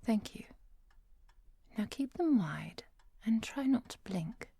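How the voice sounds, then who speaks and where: A woman playing a composed, caring professor and vampire hunter in an ASMR roleplay, speaking softly and precisely, often near a whisper. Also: fairly high pitch, expressive, gravelly, slightly rough, no echo, exaggerated voice. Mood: calm, clinical. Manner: measured, precise, softly commanding.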